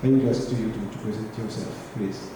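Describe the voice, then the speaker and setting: A man from France, opening a panel as moderator in halting phrasing. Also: fairly low pitch, fairly even intonation, background noise. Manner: halting, non-native phrasing. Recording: echoey hall.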